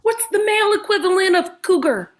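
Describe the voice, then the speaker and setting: A woman reading a jaded, blunt female TV star in a comedy script, with a deadpan, sarcastic delivery. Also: very high voice, a little fast. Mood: scornful. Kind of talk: comedy script table read.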